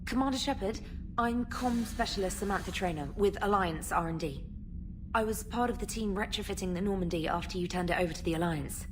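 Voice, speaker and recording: A woman's voice: mid-range voice, a little fast, close to an everyday voice. Woman playing an eager, flustered comms specialist, noisy ambience. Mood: flustered, formal. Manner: polite, formal, slightly flustered. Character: eager, nervous young female military comms specialist. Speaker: communications specialist. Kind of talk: video game character dialogue.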